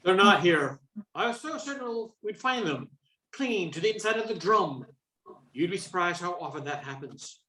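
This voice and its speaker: A woman's voice: lowish voice, animated, moderate pace, sharp tone, reverberant, a little hiss or hum. An older woman playing an eager, earnest agent in a comic radio play, emphatic and mock-serious. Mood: urgent. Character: eager, earnest agent on a trivial household case.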